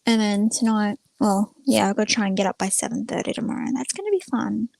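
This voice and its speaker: A woman's voice: medium pitch, fast, creaky, darkish tone, no echo. A young woman chatting casually to viewers on a livestream in a rambling, informal, self-effacing way. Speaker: live streamer.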